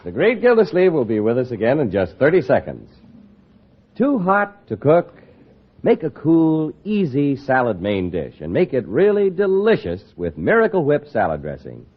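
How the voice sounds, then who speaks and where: A man's voice: medium pitch, huge pitch swings, normal speed. An American man working as a radio announcer, reading scripted copy in a 1950s broadcast style. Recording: tinny old radio broadcast.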